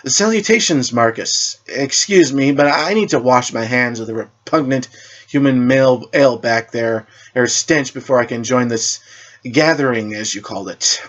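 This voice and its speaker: A man's voice: mid-range voice, normal speed, a bit bright, close to an everyday voice. Man voicing a haughty fish-girl warrior. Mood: courteous, haughty. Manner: formal, imperious.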